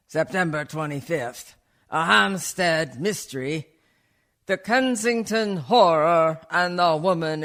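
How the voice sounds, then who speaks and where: A man playing a measured, formal reader of newspaper reports in a radio play. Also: on the high side, fairly bright tone. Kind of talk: scripted radio play narration.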